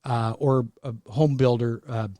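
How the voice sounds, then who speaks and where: A man in his sixties hosting a radio talk show, pitching real estate with emphatic, repetitive salesmanship. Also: fairly even intonation, unhurried, mellow, no room sound. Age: 60s.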